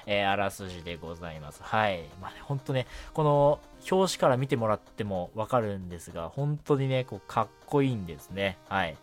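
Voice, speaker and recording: Lively, low-voiced man hosting radio. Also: brisk, hardly any pauses, soft background music. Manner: chatty, polite presenter phrasing with frequent short self-affirmations. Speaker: host of a night radio show. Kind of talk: casual solo radio talk about anime and manga.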